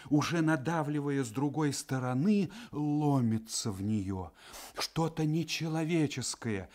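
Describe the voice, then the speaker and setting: A middle-aged Russian man giving a measured, solemn literary reading for radio. He rises to tense urgency in places and drops to a hushed near-whisper in others. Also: medium pitch. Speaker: narrator reading a classic novel. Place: radio studio.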